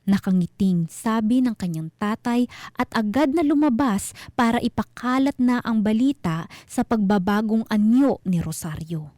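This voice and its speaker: A woman narrating a scripted horror story for radio, with deliberate, suspenseful phrasing. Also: a little high, dark tone, dry recording, clean studio sound.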